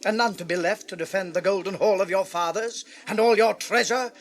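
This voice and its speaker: An actor with a British accent playing a servile, wheedling, scheming royal counsellor in a fantasy radio play. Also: male voice, high voice, brisk. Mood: sly alarm. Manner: servile, insinuating, pleading.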